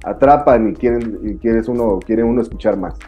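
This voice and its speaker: A man's voice: on the low side, moderate pace, dark tone, faint music behind. A man in his forties hosting an interview over a video call, asking long, effusive questions padded with fillers. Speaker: interviewer, host.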